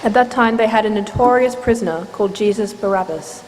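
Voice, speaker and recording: A woman giving a measured, solemn scripted narration of scripture as part of a dramatic reading. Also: medium pitch, darkish tone. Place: inside a church, live reading. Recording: reverberant church hall, faint noise.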